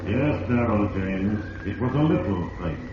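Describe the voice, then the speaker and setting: An actor playing the ominous voice of Fate, narrating a 1940s radio drama solemnly and deliberately to a doomed schemer. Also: male voice, lowish voice, very animated. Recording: muffled, hissy 1940s radio, heavy echo.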